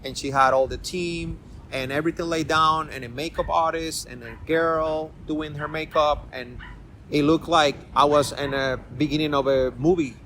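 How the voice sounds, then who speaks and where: A man's voice: a little high, steady tone, normal speed, a bit bright, rough recording. A middle-aged man from Rico, hosting a casual interview podcast and rambling through repeated words and restarts. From Puerto Rico. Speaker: podcast host.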